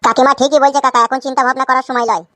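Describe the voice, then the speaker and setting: A performer voicing a servile, anxious henchman in an animated comedy, obsequious and hurried. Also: high-pitched, no echo. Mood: urging.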